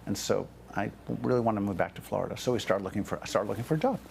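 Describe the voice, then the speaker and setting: A man's voice: on the low side, very fast, creaky, darkish tone, slight background noise. A man telling stories as an interview guest, chatty and full of "you know" and "like". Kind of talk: casual storytelling.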